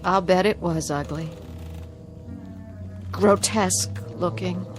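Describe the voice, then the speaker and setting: An actress playing a subdued aunt who speaks carefully and dutifully to placate a feared child, in an old television drama. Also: female voice, fairly low pitch, takes it slowly, soft background music. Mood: guarded. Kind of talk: vintage television drama acting.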